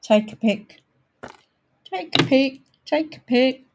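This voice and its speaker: A woman with a croaky voice hosting a casual live craft demonstration, thinking aloud as she works and sometimes clearing her throat. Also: sits high, noisy background. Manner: unhurried, thinking aloud while working. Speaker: craft tutorial host.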